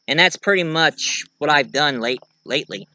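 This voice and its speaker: Guy with a bright clear voice, kinda high, rambling on excitedly in a casual vlog, dry sound. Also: moderate pace, dry recording. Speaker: video creator and amateur writer.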